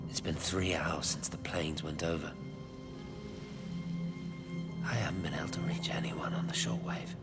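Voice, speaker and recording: A guilt-ridden English scientist in a naturalistic game drama. His voice is very deep, his delivery brooding and understated. Prominent music plays with him. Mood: grave, despairing. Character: troubled British scientist, village-born man, guilt-ridden and defensive. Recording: music laid over the voice.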